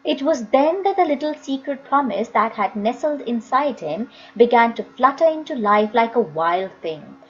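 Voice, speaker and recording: A woman's voice: fairly high pitch, animated, normal speed, few pauses, highs cut off. A woman narrating a children's novel expressively, as an audiobook reader.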